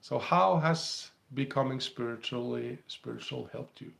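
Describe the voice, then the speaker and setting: A man with a Norwegian accent hosting a live spiritual stream on his phone, gently reading comments and passages aloud and chuckling often. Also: medium pitch, unhurried, gravelly.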